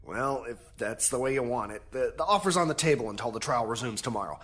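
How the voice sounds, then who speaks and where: A man playing a smooth-talking crown prosecutor in an audio drama, wry, insinuating and theatrical. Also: medium pitch, fast, a bit bright, lightly acted. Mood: insinuating, cool.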